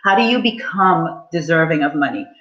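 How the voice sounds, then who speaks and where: A woman's voice: sits low, expressive, brisk, fairly bright tone, dull audio. A woman in her forties, a money mindset coach, leading a live online coaching session and speaking to her audience in an upbeat, encouraging way. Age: 40s.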